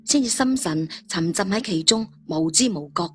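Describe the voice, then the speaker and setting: A woman voicing a loyal, resolute male adventurer who speaks gravely and earnestly. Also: mid-range voice, lively intonation, normal speed, a little mellow, close to an everyday voice. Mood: concerned.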